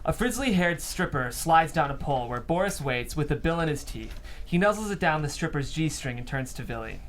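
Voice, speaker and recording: A man's voice: a little high, expressive, brisk, fairly bright tone, slight background noise. A man reading scripted stage directions as the narrator of a table read.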